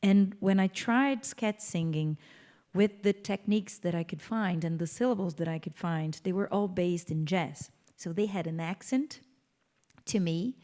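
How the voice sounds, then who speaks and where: Brazilian-accented woman with a mellow voice. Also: on the low side, measured pace. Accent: Brazilian Portuguese. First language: Portuguese. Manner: thoughtful, explanatory, with small restarts. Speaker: guest musician, vocalist. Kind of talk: onstage interview.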